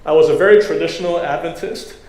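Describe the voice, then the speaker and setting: A man from Taiwan giving a conversational sermon as a guest preacher, pausing often with fillers. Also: on the high side, darkish tone. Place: church sanctuary. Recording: echoey church room, faint ambience. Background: congregation.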